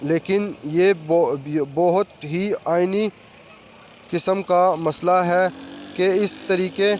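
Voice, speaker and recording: A man delivering a political news commentary monologue, sometimes halting and stumbling over his words. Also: high voice, fairly even intonation, a little hiss or hum. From Pakistan.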